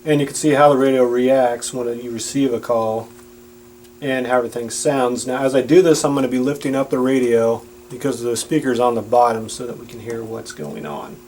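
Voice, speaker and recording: Low-voiced man demonstrating a product, background noise. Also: steady tone, fairly quick, a little mellow. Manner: plain-spoken, explanatory.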